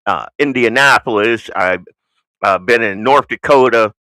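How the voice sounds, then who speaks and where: A man with a flattened American accent and Southern roots, hosting a political talk show in an unscripted, blunt, opinionated, folksy way with rambling asides. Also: lowish voice, a little slow, no room sound. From United States.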